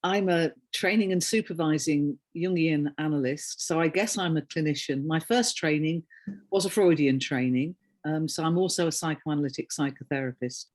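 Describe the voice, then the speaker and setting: A woman's voice: low voice, moderate pace, darkish tone. A woman who is a training Jungian analyst, introducing herself fluently and matter-of-factly. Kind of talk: self-introduction on a call. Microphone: video call.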